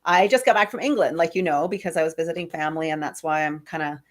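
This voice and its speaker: A woman's voice: low voice, fast talker. A woman hosting an interview, chatting eagerly and laughing often, with a cold. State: has a cold. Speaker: podcast host. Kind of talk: casual interview chat.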